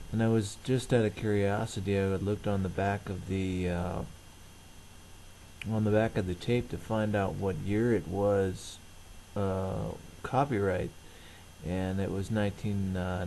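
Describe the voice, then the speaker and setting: A middle-aged man casually narrating everyday tasks in a halting, rambling, plain-spoken way. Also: sits low, little pitch movement, mellow, a little hiss or hum, poor audio. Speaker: vlogger.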